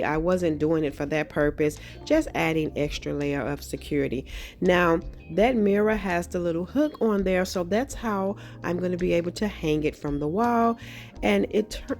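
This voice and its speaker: Slightly hoarse, chatty woman. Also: lowish voice, a little mellow. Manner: friendly, chatty, frequent ums. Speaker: craft channel host. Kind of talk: casual craft tutorial narration.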